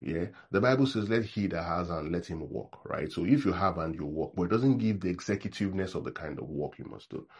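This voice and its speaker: Nigerian man preaching, deep husky voice, conversational sermon with check-ins. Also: lively intonation, brisk, hardly any pauses, darkish tone. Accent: Nigerian English. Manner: acts out sample prayers in a mocking tone. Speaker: pastor.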